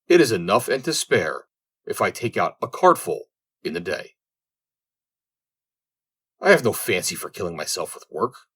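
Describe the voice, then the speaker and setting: A man voicing a lazy servant in a storybook, exclaiming and justifying himself with lively indignation. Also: low-pitched, moderate pace, pauses often, breathy edge, exaggerated voice. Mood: idle, dismissive.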